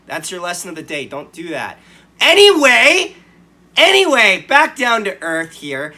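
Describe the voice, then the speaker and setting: A man hosts a hockey recap with a fairly high, piercingly bright voice. It has a slight scratch to it. He talks informally and animatedly, restarting his thoughts as he goes. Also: wildly swooping pitch, unhurried. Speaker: sports podcast host. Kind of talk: hockey game recap commentary to camera.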